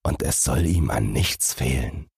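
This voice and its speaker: A man voicing a kindly, commanding fairy-tale king in a gentle, measured way. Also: extremely low pitch, animated, slightly rough, dry recording, clean studio sound, full character voice. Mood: kindly, stately.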